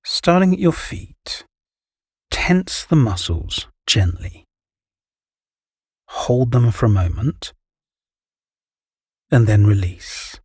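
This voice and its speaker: A man guiding a relaxation meditation, giving calm, soothing, measured instructions. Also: medium pitch, breathy edge, dry recording, studio quality. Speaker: meditation guide. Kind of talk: guided relaxation meditation, scripted narration.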